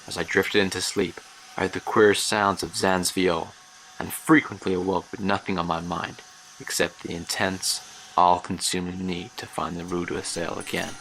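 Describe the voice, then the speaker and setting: A man's voice: low voice, little pitch movement, creaky, a bit bright. An actor playing a haunted older man, recalling his youth in a grave, literary horror monologue for an audio drama. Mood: troubled. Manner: grave, literary, measured storytelling. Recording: noisy track with ambience behind.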